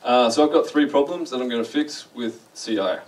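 A man's voice: mid-range voice, a little fast, darkish tone, recorded from a distance, poor audio. A young man with an Australian accent, giving a chatty, self-deprecating conference talk and asking the audience questions. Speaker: conference speaker. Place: large dark lecture hall.

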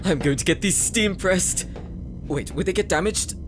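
A man playing a nerdy, excitable young forge master in a fantasy audio drama, eager, fussy and easily flustered. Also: high-pitched, normal speed, music in the background, natural voice. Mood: giddy. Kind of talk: anime fantasy audio roleplay acting.

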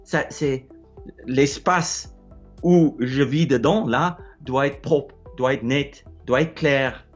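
A middle-aged man with an Afrikaans accent who speaks French as a second language, talking casually and haltingly about his explorer life, recorded indoors on a close-held phone. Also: mid-range voice, lo-fi sound, faint music behind. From South Africa. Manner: hesitant, repeats words, searching for words. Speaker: explorer sharing advice. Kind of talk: casual advice monologue. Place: wood-ceilinged room indoors. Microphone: phone held close, low angle.

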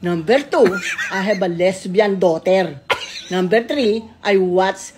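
A woman's voice: mid-range voice, slow. A woman giving an emphatic, mock-indignant comic retort, point by point. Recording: clear background noise with clicks.

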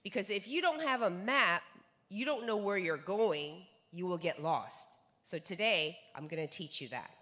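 A woman's voice: fairly low pitch, lively intonation, normal speed, pushed and bright. A woman in her forties with a North American accent gives an emphatic sales lecture on stage into a handheld mic, repeating words for stress and asking rhetorical questions. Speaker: business seminar speaker. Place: large stage in a hall. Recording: long hall reverb.

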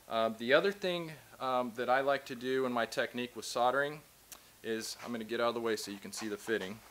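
A man's voice: medium pitch, fairly bright tone. A man in his thirties giving a casual hands-on how-to demonstration in a large indoor workshop, explaining plainly as he works, recorded on a close camera mic. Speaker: tradesman instructor.

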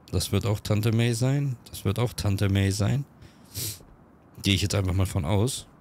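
A man with a cold, chatting quickly through casual gameplay commentary and reading on-screen text aloud. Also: lowish voice, darkish tone, slight background noise. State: has a cold. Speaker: let's-play gamer.

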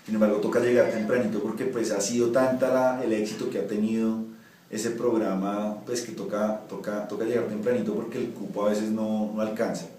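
A man's voice: fairly low pitch, little pitch movement, fairly quick, warm tone, a little hiss or hum. A man in his thirties, a municipal official, answering interview questions with many restarts and fillers in an office. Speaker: municipal ombudsman. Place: office with window blinds. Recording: echoey office from far off.

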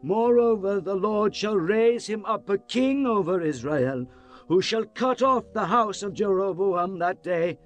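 High-pitched stern prophet of doom. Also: male voice, unhurried. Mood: foreboding. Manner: declamatory, grave. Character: old male prophet pronouncing doom, stern and solemn. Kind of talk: dramatized scripture reading. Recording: music bed behind the voice.

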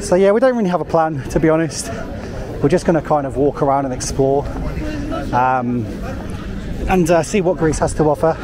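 A man's voice: a little high, normal speed, a crowd in the background. A man, chatting casually to a handheld camera as he walks around a city, rambling with lots of fillers. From England. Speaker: travel vlogger. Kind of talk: casual walking vlog. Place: outdoors on busy city streets. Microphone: handheld camera at arm's length. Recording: noisy outdoor street recording. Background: street ambience and traffic.

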